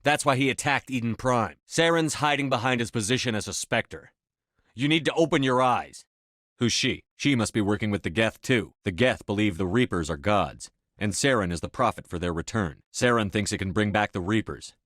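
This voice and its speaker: A man's voice: fairly low pitch, moderate pace, a bit bright, dry recording, clean studio sound, natural voice. A male actor voicing a stern, commanding military officer in a science fiction video game, speaking with firm, clipped authority. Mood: grave, insistent. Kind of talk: video game voice acting, dramatic dialogue lines.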